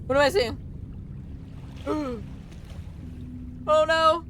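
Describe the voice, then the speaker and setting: Female let's player, fairly high voice, casual exclamatory commentary, clear game ambience in the background. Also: drawn-out words, a little hiss or hum. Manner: expressive, exclamatory reactions. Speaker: streamer playing a game.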